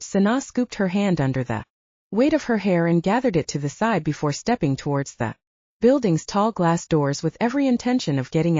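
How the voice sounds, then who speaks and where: Female audiobook narrator for romance fiction: mellow tone, animated intonation, slightly muffled sound. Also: on the low side, no room sound.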